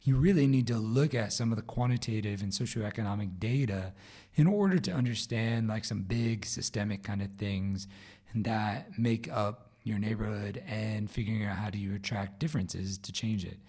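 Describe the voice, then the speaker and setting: A man with a raspy, hoarse voice hosting a solo podcast episode, talking casually with fillers and restarts. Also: lowish voice, moderate pace, darkish tone. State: hoarse from a vocal cord growth. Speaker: podcast host.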